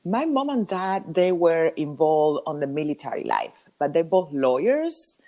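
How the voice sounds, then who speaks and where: A woman in her thirties with a Cuban Spanish accent, a native Spanish speaker, telling her story as a podcast guest with lively ums and laughs. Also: low-pitched, a little slow. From Cuba. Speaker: podcast guest, hair colorist. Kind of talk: casual interview talk.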